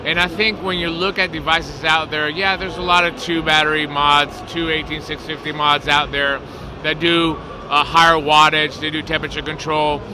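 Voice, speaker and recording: A man with a Greek accent chatting casually as a co-host and industry representative, easygoing and quick to laugh. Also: on the high side, level tone. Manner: easygoing, laughing, talks with a few slips. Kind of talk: casual chat. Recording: loud background noise.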